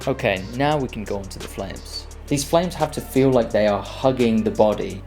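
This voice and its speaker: A man hosting an animation channel, giving a measured, explanatory voice-over about his process. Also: fairly low pitch, a little mellow. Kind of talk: scripted video voice-over with process commentary. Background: music.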